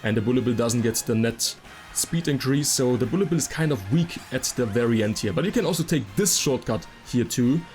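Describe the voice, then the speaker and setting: A man's voice: medium pitch, animated, fairly quick, a little mellow. A man giving casual, detailed explanatory commentary as a video game guide creator. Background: game music.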